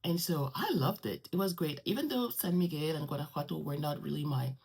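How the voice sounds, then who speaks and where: A woman whose first language is French, chatting casually to her viewers in an animated way and laughing often. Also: low voice, a little fast, husky. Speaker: vlogger. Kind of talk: casual chat to camera.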